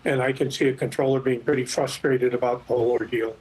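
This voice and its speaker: A middle-aged or older man speaks as an expert guest on a news program. His husky, mid-pitched voice explains things in a measured, halting way. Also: steady tone, darkish tone, poor audio. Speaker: expert guest, former air traffic controller. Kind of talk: news interview commentary.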